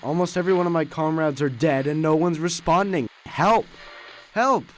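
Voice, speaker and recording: A man's voice: a little high, normal speed, background noise, natural voice. A man playing a weary, haunted soldier in his forties in an audio drama, grave and terse. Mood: panicked. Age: 40s. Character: weary haunted 40-year-old male ex-soldier in hiding. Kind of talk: audio drama acting and narration.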